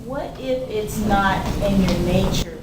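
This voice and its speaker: A woman's voice: medium pitch, slow. A woman in the audience asking the speaker questions, hesitating and searching for her words. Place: library meeting room. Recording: echoey room, far from mic, loud background noise.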